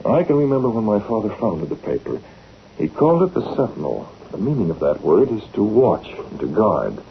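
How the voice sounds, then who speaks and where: An actor playing a confident, principled newspaper publisher with a measured, authoritative manner in old-time radio drama style. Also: male voice, on the low side, big pitch swings, vocal fry. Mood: assured, earnest. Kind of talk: 1940s radio acting. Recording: old, noisy, narrow-band radio recording.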